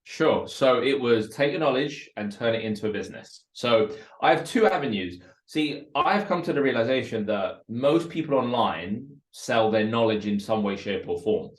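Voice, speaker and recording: A man's voice: mid-range voice, expressive, moderate pace, hardly any pauses. A man, a Russian speaker, speaking as an entrepreneur guest in a casual interview. He talks with energy and often repeats words as he builds his points. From Russia, raised in West London. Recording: roomy echo.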